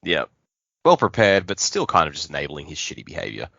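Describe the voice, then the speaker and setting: A man's voice: very deep voice, sing-song, a little fast, gravelly, pushed and bright, no echo, dull audio. A man co-hosting a podcast in casual chat, chipping in with informal, sometimes sweary asides. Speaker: podcast co-host.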